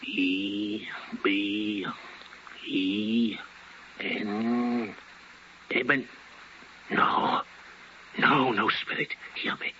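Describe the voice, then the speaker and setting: A man playing a repentant old miser with theatrical, halting, imploring delivery in 1950s radio drama style. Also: lowish voice, little pitch movement, pushed and bright, slight background noise, pitched below the performer's own voice, full character voice. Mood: desperate pleading. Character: frightened, repentant old miser Scrooge pleading, later giddy. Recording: muffled old radio recording, music behind.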